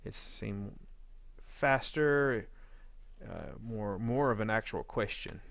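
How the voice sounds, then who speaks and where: A man giving an informal editing tutorial, talking haltingly as he thinks aloud while working. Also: lowish voice, gravelly, fairly bright tone, no echo. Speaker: audio drama editor giving a tutorial. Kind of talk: informal software walkthrough.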